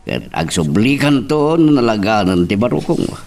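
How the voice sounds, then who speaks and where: A man with an Ilocano Filipino accent playing a supportive, concerned father, gently urging, in an audio drama. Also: on the low side, big pitch swings, normal speed, gravelly. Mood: concerned, persuasive. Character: concerned, supportive father advising his grown daughter. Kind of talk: Ilocano radio-style drama acting. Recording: distant from the microphone.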